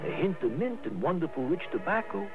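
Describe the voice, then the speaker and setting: A man's voice: high voice, big pitch swings, fairly quick. An actor playing a chatty, smitten diner counterman in a 1960s radio commercial. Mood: dreamy. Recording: tinny old radio, prominent music.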